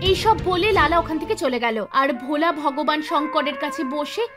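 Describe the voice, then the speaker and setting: A woman reading scripted narration as the storyteller of an animated moral tale. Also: sits high, moderate pace. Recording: music under the voice.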